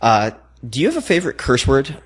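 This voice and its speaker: A man hosting a podcast interview, asking questions in a measured, curious way with some hesitations. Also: mid-range voice, wildly swooping pitch, slightly rough. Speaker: podcast host. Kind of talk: interview questions and casual chat.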